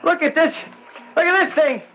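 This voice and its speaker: An actor playing an excitable stranded adventurer in an old-time radio drama, repeating himself as he goes. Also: extremely high pitch, normal speed, loud music behind the voice. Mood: curious. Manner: excitable, repeats his words. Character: stranded adventurer, curious and excitable, then terrified. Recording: dull old radio recording, faint noise.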